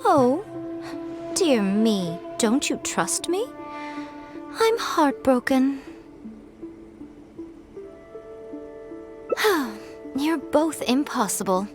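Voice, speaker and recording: A woman playing a sly, teasing, elegant fox-like shrine priestess in a video game, with coy, airy delivery. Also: on the high side. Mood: teasing, mock-hurt. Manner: coy, airy, theatrically mock-hurt. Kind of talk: English video game voice acting.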